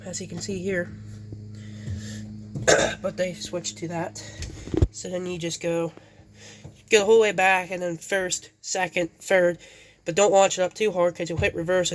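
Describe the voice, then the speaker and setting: A congested man with a cold hosts a casual, rambling car walkaround and often corrects himself. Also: high-pitched. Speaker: channel host. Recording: long reverb, clear background noise.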